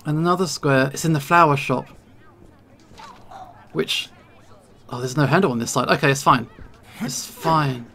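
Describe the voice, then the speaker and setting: Let's play streamer, mid-range creaky voice, nervous self-talk. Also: medium pitch, normal speed, pauses often, bright, forceful tone. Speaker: video game streamer.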